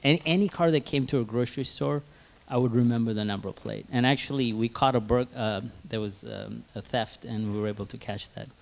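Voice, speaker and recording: A man from Tanzania telling casual anecdotes as a panelist, halting and amused at himself. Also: mid-range voice, creaky. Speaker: product head on a panel.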